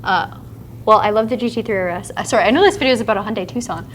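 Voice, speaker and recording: A woman's voice: medium pitch, very fast, slight background noise. A woman in her twenties co-hosting a casual livestream in a car showroom, reading viewer questions aloud with friendly fillers, on a lapel mic. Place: large car showroom indoors.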